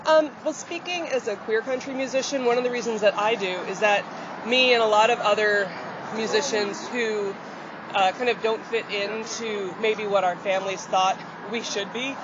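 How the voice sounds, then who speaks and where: Woman country singer from Michigan, somewhat deep voice, relaxed chatty interviewee, muffled street recording. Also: normal speed. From rural Michigan, USA. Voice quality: deeper voice. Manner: chatty and open, with frequent fillers and light laughs. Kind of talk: casual interview answers. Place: outdoors on a city street. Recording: dull outdoor audio with loud traffic.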